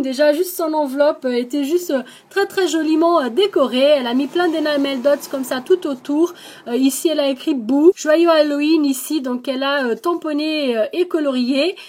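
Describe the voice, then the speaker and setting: A woman with a high-pitched voice and an accent, hosting her own craft channel. She talks in a relaxed, chatty way, filling her sentences with little hesitations as she shows off the crafts she was sent. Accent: non-native French accent. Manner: chatty, lots of hesitations and "donc". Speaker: scrapbooking channel host. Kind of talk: casual show-and-tell of craft mail.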